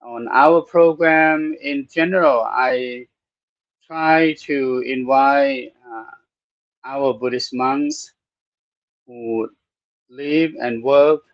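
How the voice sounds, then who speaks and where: Thai-accented male podcast host, slow and halting, dry, slightly dull sound. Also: mid-range voice, fairly even intonation, dry recording. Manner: slow, halting with many "uh" pauses. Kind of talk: unscripted podcast talk.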